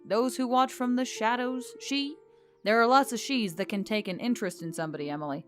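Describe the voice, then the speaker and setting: Woman voicing a wry, measured magical boss. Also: a little high, faint music behind, natural voice. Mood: probing. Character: Oggletock, male magical tech boss, wry and weary. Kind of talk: audiobook character dialogue.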